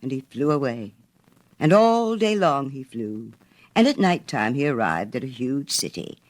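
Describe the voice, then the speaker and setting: A woman narrating a storybook in a theatrical, expressive manner. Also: a bass voice, unhurried. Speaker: audiobook narrator.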